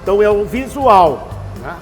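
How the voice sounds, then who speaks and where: Man, sixties, high pitched, folksy and instructive, reverberant space. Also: sing-song, normal speed, faint music behind. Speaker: master brewer. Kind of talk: explanatory interview answers.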